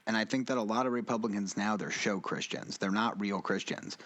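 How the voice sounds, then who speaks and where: A man's voice: fairly low pitch, a little fast, fairly bright tone, dull audio. A young man co-hosting a casual podcast, laid-back and rambling, swearing casually. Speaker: podcast co-host. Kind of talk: casual chat.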